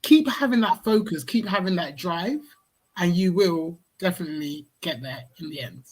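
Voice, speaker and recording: Woman, husky mid voice, direct coaching tone. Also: fairly low pitch, lively intonation, moderate pace, darkish tone. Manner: direct, coaching, lots of "you know". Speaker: workshop co-host. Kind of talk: live online workshop advice.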